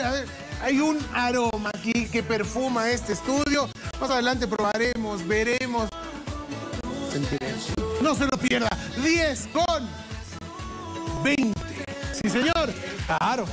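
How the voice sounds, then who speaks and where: Male co-host, very high pitch, enthusiastic announcer, music underneath. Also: animated, a little slow. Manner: enthusiastic, exclamatory. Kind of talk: TV presenting. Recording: TV studio with music bed.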